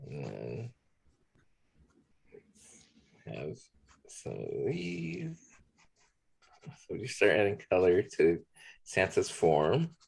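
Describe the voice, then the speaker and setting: A man leading a drawing demonstration, talking in a relaxed way as he draws. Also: sits low, very slow, creaky, long pauses, breathy edge, rough recording. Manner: relaxed, talks while drawing. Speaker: art instructor. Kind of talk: drawing demonstration, thinking aloud.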